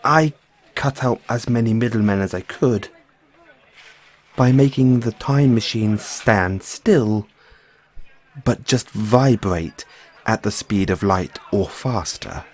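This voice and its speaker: A man playing a nervous, geeky mad scientist boyfriend in an audio roleplay, explaining things haltingly to his partner. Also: on the low side, darkish tone. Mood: eager explaining. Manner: hesitant, stammering, explaining at length. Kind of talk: audio roleplay acting, addressing the listener as partner.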